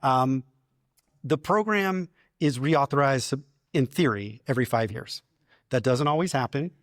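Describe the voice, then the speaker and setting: Loud-voiced man giving a talk. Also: mid-range voice, expressive, a little slow, no echo, studio quality. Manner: explanatory, self-described loud voice. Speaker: program manager. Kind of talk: public presentation. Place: community meeting room.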